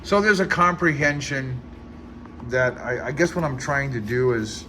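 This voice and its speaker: A man talking casually and unscripted as he thinks aloud, rambling and halting, with a self-deprecating manner. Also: medium pitch, sharp tone, slight background noise. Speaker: amateur radio hobbyist making study progress videos.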